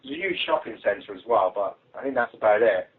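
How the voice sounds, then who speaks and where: A man's voice: lowish voice, brisk. A British man making casual small talk with colleagues on a telephone conference call. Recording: tinny phone conference line.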